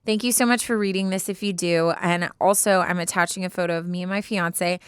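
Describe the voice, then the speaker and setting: Casting: American female podcast co-host, crisp tone, dry close studio sound, casual and slangy delivery. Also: on the low side, steady tone, brisk, dry recording. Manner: informal, slangy. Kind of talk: casual banter and reading a listener letter aloud.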